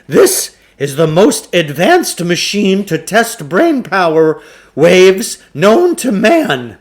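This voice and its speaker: Guy doing a pompous oddball shop owner from a kids book, high voice, swoops around, grandiose showman. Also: big pitch swings, takes it slowly, exaggerated voice. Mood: excited. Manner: pompous, theatrical. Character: eccentric male occult shopkeeper, grandiose, boastful showman. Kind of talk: acted storybook dialogue.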